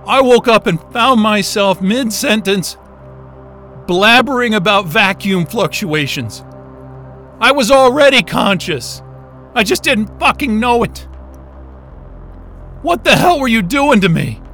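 A high-voiced male astrophysicist acted by a man in a science-fiction audio drama, distressed and bewildered. He drags out his words slowly, stammering and swearing in anger. Faint music runs underneath. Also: lively intonation, pushed and bright, heavily acted. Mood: confused, angry. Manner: gasping, stammering, profane.

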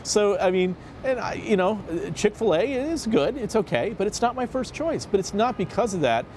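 A man hosting a casual roundtable discussion, talking in an animated and jokey way with an upbeat lilt. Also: high voice, moderate pace, a little hiss or hum. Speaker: host of a discussion panel. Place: seated at a table in a city.

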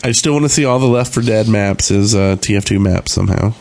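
A man's voice: lowish voice, brisk, darkish tone, a little hiss or hum. A man co-hosting a gaming podcast in casual, informal chat with a joking manner. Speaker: podcast co-host.

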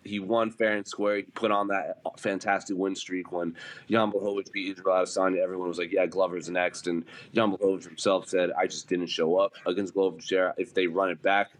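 A man's voice: sits low, level tone, brisk. A man giving his opinion as a panelist in a casual sports discussion. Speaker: panelist on an MMA preview show.

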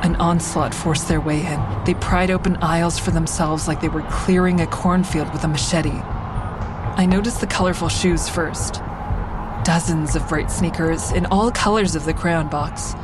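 Woman playing haunted survivor narrating a drama podcast, lowish voice, measured and intense, music under her. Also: fairly even intonation, close to an everyday voice. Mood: haunted, somber. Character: haunted woman survivor of a train shooting, earnest. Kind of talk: first-person audio drama narration.

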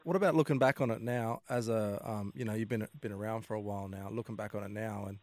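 Fast-talking casual male co-host. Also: lowish voice, steady tone, a crowd in the background. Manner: warm, casual, many fillers and restarts. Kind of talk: casual interview chat.

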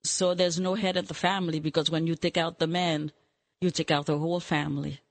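A woman's voice: deep voice, level tone, brisk. A woman, a studio guest speaking emphatically and indignantly. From Haiti. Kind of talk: casual conversation.

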